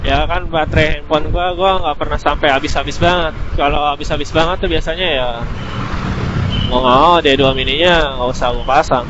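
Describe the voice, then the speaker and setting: A man's voice: on the high side, steady tone, brisk. A man with a casual Jakarta-area Indonesian accent, explaining gear in a colloquial, rambling motorcycle vlog. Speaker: motorcycle vlogger. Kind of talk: casual vlog review, explaining while riding. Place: outdoors on a motorcycle by a road. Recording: muffled, clearly noisy outdoor motorbike recording.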